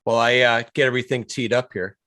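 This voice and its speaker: A man chatting casually as co-host on an online call, with a halting, hesitant delivery. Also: mid-range voice, steady tone, sharp tone. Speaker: show co-host. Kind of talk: casual live-stream chat.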